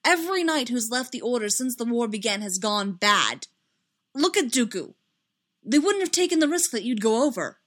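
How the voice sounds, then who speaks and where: Female reader as impulsive young male hero in story narration: agitated, emphatic, loud, fiercely attached to his friend. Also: medium pitch, sing-song, normal speed, close to an everyday voice. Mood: loud, exasperated. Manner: emphatic, loud outbursts, broken repetitions. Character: agitated, hot-headed young man, distraught loyal friend.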